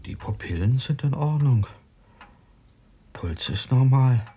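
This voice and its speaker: An actor playing a skeptical, impatient doctor in a German radio drama, brisk and matter-of-fact. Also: male voice, mid-range voice, lively intonation, dark tone, a little hiss or hum. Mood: firm, businesslike. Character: skeptical, impatient male doctor investigating a mystery.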